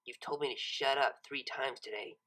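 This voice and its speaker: Storybook bit part: woman as venomous young pony sidekick, curt, fed up, pitched a little down. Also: fairly even intonation, moderate pace, a bit bright, poor audio. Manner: curt, scornful.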